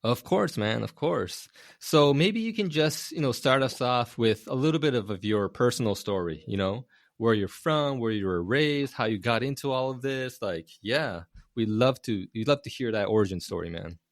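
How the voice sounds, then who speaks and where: A man hosting a casual interview show, relaxed and chatty, dropping "you know" and "man" into his questions. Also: mid-range voice, normal speed, dry recording, studio quality. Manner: relaxed, says "man" and "you know", short "wow" reactions. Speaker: podcast host.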